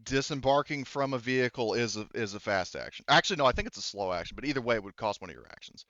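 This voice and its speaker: A man speaking as game master of a casual tabletop roleplaying game, in a halting, informal, sweary way. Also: mid-range voice, lively intonation, fairly bright tone, no room sound, lo-fi sound.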